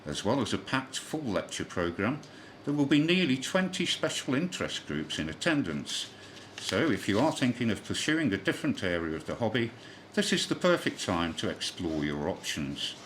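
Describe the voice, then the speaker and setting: A man's voice: fairly low pitch, sing-song, normal speed, fairly bright tone. A man reading a scripted amateur radio news bulletin as a newsreader, with the occasional slip. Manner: spells out callsigns in phonetic alphabet, occasional slips. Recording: clearly noisy shortwave radio broadcast.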